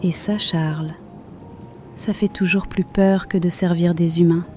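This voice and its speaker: A woman playing a calm, wise confidant who asks gentle, probing questions in a scripted audio drama. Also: on the low side, a little slow, slight background noise, natural voice.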